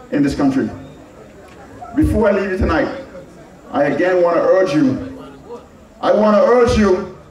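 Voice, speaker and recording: High-voiced Anguillian man giving an echoey speech. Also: darkish tone. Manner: emphatic, repeats key phrases for effect; asks rhetorical questions and answers them. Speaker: political candidate. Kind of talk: public campaign speech. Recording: very echoey, from far off.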